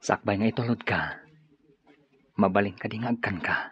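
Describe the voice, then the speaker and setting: Husky, coaxing young suitor. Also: male voice, lowish voice, moderate pace, no echo, highs cut off. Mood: coaxing, amorous. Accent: Ilocano. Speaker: radio drama actor. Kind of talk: Ilocano radio drama acting.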